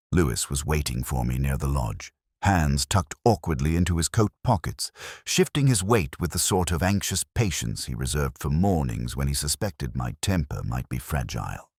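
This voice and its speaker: A man playing a world-weary, middle-aged English detective, narrating a mystery in a measured, reflective, literary and sombre way. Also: a bass voice, a little mellow, no room sound, clean studio sound, full character voice. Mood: sombre, watchful. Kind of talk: scripted first-person mystery narration.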